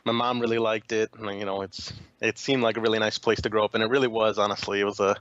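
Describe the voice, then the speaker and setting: A man's voice: lowish voice, flat delivery, fast talker, a bit bright, no room sound, dull audio. A young man from Chicago, a podcast guest chatting casually with lots of fillers and restarts. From Chicago, USA. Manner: rambling, frequent fillers and restarts. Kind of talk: casual interview chat.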